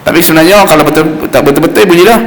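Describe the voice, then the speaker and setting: A man with a Malaysian Malay accent teaching Quran recitation in an informal, explanatory way, slowly demonstrating sounds as he goes. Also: a little high. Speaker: religious teacher giving Quran recitation class. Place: mosque hall. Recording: roomy echoing hall, faint background noise.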